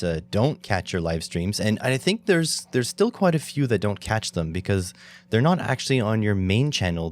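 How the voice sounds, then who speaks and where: A man from Canada hosting a podcast, chatting in a friendly, enthusiastic way with lots of fillers. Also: deep voice. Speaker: podcast host. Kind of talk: casual interview chat.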